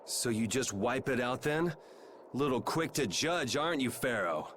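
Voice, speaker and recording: A man's voice: mid-range voice, lively intonation, unhurried, lightly acted. A man playing a defiant, sardonic young hero in a video game, speaking casually and bluntly with a cocky edge. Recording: clear background noise under game dialogue.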